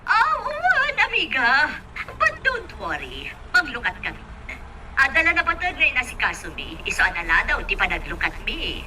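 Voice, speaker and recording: A woman's voice: a little high, huge pitch swings, normal speed, background noise. A woman with an Ilocano accent playing a confident middle-aged businesswoman in a radio drama, gushing and slipping in English phrases. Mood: breezy assurance. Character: breezy, confident businesswoman friend who runs a company.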